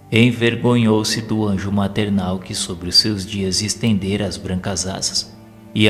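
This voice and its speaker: Low-voiced Brazilian man narrating with long reverb. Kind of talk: scripted literary narration for a spiritist audio novel. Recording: long reverb, prominent background music. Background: music.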